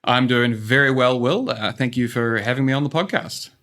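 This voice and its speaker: Man, New Zealand accent, guest economist, mid-pitched voice, thoughtful and filler-heavy. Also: moderate pace, fairly bright tone. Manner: thoughtful, with frequent ums and uhs. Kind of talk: casual interview answers.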